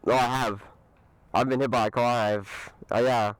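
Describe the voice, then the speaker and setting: A man's voice: medium pitch, fairly even intonation, normal speed. A man chatting casually outdoors in a laid-back, easygoing way, swearing lightly. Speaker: vlogger riding an electric scooter. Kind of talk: casual chat. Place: outdoors by a street. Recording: noisy outdoor recording with vehicle sounds.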